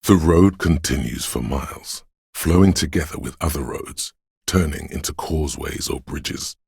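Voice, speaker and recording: Game narrator: ominous, measured voice of a brooding city, bottomless bass, heavy vocal fry, atmospheric second-person delivery. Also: male voice, dry recording, studio quality, full character voice. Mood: atmospheric, solemn. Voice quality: gravelly, husky. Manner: measured, hushed, poetic and solemn.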